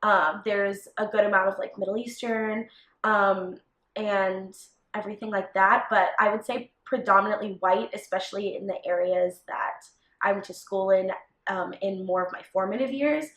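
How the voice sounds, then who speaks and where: A young woman from Texas, a college junior, answering interview questions thoughtfully with frequent "um" pauses. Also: mid-range voice, fairly even intonation, a bit bright. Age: young adult. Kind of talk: casual documentary interview. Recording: roomy echo.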